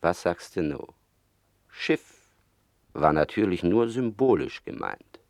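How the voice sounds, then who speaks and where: A bookish, reflective male rat in a radio drama. The voice is deep and dry in sound, with a deliberate, wry, mock-learned delivery. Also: very animated, a little mellow, dry recording, full character voice. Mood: wry, pompous. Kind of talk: German radio play acting.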